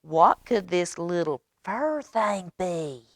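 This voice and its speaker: A woman's voice: on the low side, expressive, slow, dry recording, clean studio sound, voice pushed up. Woman voicing a wondering little storybook duckling, drawn out. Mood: puzzled wonder. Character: small, curious, newly hatched yellow duckling, wondering and plucky.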